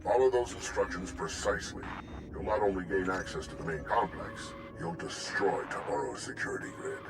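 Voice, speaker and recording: A man's voice: low voice, moderate pace, background noise. A man voicing a verbose, courteous alien captive in a sci-fi game, speaking in florid, formal phrases through a radio filter. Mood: businesslike. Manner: pompous, florid, formal wording. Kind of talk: scripted game dialogue.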